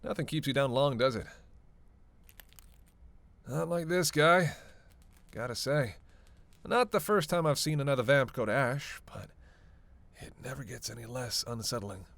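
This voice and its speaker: A creaky, gravel-edged male vampire played by a man in a roleplay audio drama. He talks casually and sarcastically, teasing and cursing as he goes, always playfully out for himself. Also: medium pitch, lively intonation, unhurried, pauses often, a bit bright, dry recording. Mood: dry, snarky. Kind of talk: roleplay audio drama, one-sided conversation with listener.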